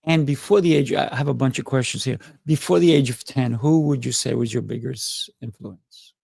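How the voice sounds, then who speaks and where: A man's voice: mid-range voice, moderate pace, creaky, darkish tone, slightly rough, no room sound, studio quality. A man hosting a podcast, interviewing conversationally and sometimes searching for his words. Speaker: podcast host.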